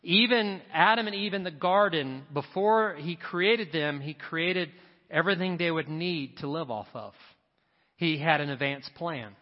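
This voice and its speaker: A man's voice: on the high side, a little slow. A man preaching a sermon to a congregation, emphatic and repeating his key lines for effect. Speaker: pastor. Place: church sanctuary. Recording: reverberant hall, dull sound. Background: church congregation.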